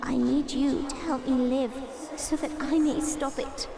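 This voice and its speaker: A woman's voice: sits high, steady tone, measured pace, gravelly, over a noisy crowd. A woman playing a dying magical tree seed spirit in a fantasy audio drama, speaking in a formal, grave, earnest way.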